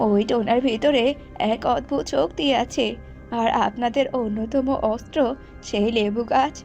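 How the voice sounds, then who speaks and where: A woman's voice: a little high, normal speed, creaky, darkish tone, highs cut off, faint music behind. A woman voicing a wise old female advisor in a cartoon folk tale, solemn and explanatory. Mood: solemn, advising. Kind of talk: cartoon voice acting.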